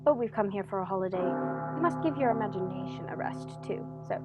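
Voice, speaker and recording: A woman playing a cool, erudite gentleman detective in a radio play, speaking in a dry, lecturing way. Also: medium pitch, expressive, fairly quick, loud music behind the voice, natural voice. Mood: gently mocking.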